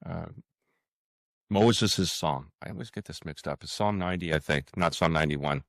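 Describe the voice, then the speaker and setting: Very deep-voiced, hesitant man in conversation. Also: gravelly, no echo, clean studio sound. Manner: hesitant, halting, with frequent pauses and repeated words. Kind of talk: casual interview conversation.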